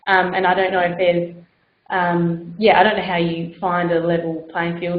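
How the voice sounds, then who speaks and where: Woman centre coordinator, low-pitched, hesitant with fillers; echoey, dull room recording. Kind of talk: workshop participant feedback.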